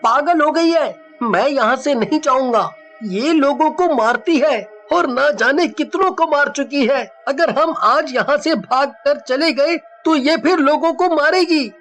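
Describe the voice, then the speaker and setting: A woman's voice: high-pitched, unhurried, fairly bright tone, soft background music. A woman playing a brave, determined schoolboy in a horror story, speaking in an earnest, emphatic way. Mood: insistent.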